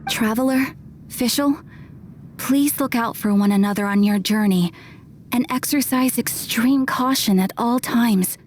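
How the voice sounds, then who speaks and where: A woman voicing a composed young female guild receptionist in a video game, polite, measured and formal. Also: fairly high pitch, darkish tone, slight background noise, natural voice. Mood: calm. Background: game music.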